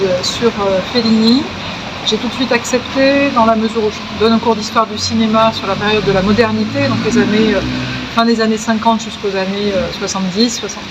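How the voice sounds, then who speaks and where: A woman lecturer gives an interview, her speech broken by fillers as she looks for the right dates and words. Her pitch is middling, and clear background noise runs under her voice throughout. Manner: hesitant, searching for words. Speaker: university lecturer.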